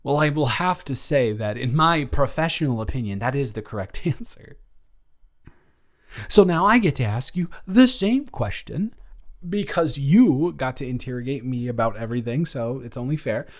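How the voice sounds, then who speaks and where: A man acts out a young guy in love with his best friend, in a romantic audio roleplay. His voice sits at a medium pitch. He banters and teases, often stammering and restarting as he talks. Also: expressive, lightly acted. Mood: playful, nervous. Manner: bantering, halting, with stammers and breathy laughs.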